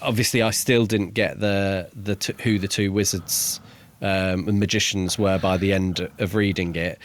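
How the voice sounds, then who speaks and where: A man's voice: deep voice, fairly even intonation, moderate pace. A man co-hosting a podcast, discussing a book casually and hesitantly as he pieces his thoughts together. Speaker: podcast co-host reviewing a book.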